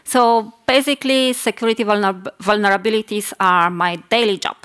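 A woman in her forties with a Polish accent, a native Polish speaker giving a technical conference talk on a hall stage at a lectern mic, measured and often pausing to correct herself. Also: mid-range voice, fairly even intonation, fairly bright tone, smooth. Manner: measured, with frequent hesitations and self-corrections.

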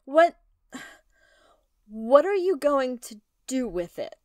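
Woman playing an anxious, high-voiced, slow-spoken wife. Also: big pitch swings, darkish tone, no room sound, studio quality, exaggerated voice. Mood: uneasy, afraid. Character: anxious, gentle professor's wife, fearful and hesitant. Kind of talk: retro 1930s radio drama acting.

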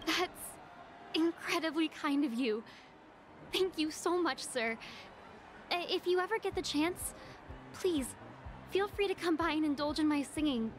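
An actress playing a sweet, gracious young singer in a video game, polite, gentle and expressive. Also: female voice, high-pitched, unhurried, pauses often. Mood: grateful. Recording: music behind the voice.

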